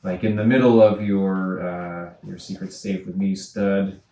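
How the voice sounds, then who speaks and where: Slow, halting man directing from across a room. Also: low-pitched, flat delivery, mellow, slight background noise. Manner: halting, thinking aloud, acts out sample lines. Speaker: director giving actors notes. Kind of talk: informal rehearsal notes. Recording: roomy, distant mic, faint music.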